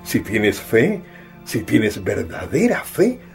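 A man's voice: fairly low pitch, wildly swooping pitch, takes it slowly, vocal fry, faint music behind, exaggerated voice. A man playing a devoted, tender father in a radio drama, affectionate and coaxing in his delivery. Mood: pleading, emotional.

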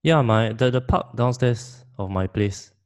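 A man's voice: medium pitch, a little slow. A young Singaporean man co-hosting a podcast, his throat phlegmy from the haze, bantering playfully and teasing himself. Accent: Singaporean English. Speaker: podcast co-host. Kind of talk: casual chat.

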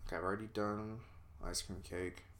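A man talks through his own podcast in a loose, rambling way, full of ums and likes and little self-deprecating asides. His voice sits low but has a bright, forceful edge. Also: little pitch movement, brisk. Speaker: podcast host. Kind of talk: casual solo podcast monologue.

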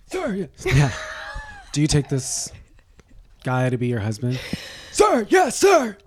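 A man's voice: on the high side, huge pitch swings, slow pace, a little hiss or hum. A man co-hosting a podcast, tossing out sarcastic, profane wisecracks in casual chat. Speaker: podcast co-host.

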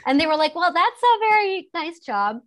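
A woman in her thirties, a guest entrepreneur, telling stories in a casual interview, chatty with many fillers and animated retellings. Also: extremely high pitch, moderate pace, a little hiss or hum. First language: English.

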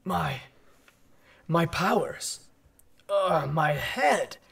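A man's voice: fairly high pitch, animated, very slow, creaky, a bit bright, breathy edge, far from the mic, full character voice. A man playing a proud, defensive captured superhero in an audio comedy, self-important and indignant. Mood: groggy, indignant. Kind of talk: audio comedy drama acting.

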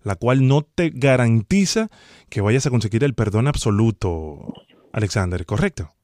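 A man's voice: mid-range voice, lively intonation, moderate pace, a little mellow, no echo, studio quality, close to an everyday voice. A man playing a formal, bureaucratic immigration officer in a prank phone call, sternly polite and officious. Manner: formal, officious, repeats the name.

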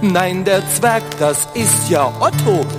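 A woman's voice: mid-range voice, expressive, takes it slowly. A woman delivering the rhyming words of a children's theme song. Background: loud theme music.

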